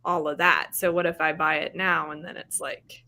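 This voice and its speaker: Female livestream host. Bright, forward voice, animated and giggly, informal chat among friends. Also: deep voice, moderate pace. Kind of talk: casual group chat.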